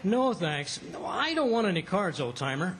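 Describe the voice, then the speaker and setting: A man playing a stubborn, excitable, wisecracking husband in an old-time radio comedy. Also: high-pitched, moderate pace. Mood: evasive, wisecracking.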